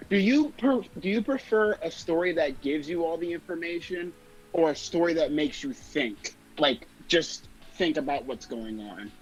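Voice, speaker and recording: A man calling into a livestream, chatting casually and haltingly, often restarting his sentences. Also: on the high side, lively intonation, slight background noise. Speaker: call-in guest on a livestream. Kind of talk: casual chat. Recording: dull lo-fi voice call, music behind.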